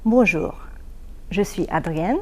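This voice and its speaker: A woman in her forties from Hungary, a native Hungarian speaker, reads a short introduction about herself. Her voice is somewhat low and mellow, and she reads at a very slow, measured pace. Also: expressive. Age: 40s. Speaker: teacher. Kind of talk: reading a short self-introduction.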